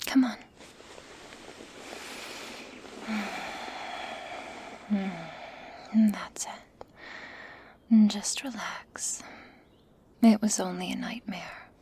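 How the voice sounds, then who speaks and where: A woman voicing a sadistic, mockingly tender female werewolf in a breathy, husky, deliberate whisper for an ASMR roleplay, close to the microphone. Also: mid-range voice, mellow, a little hiss or hum. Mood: soothing, tender. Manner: slow, taunting, deliberate delivery with sighs.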